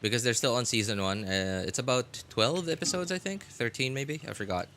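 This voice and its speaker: A man's voice: mid-range voice, brisk, a bit bright, a little hiss or hum. A man live streaming a game, chatting casually with viewers and often hesitantly repeating himself.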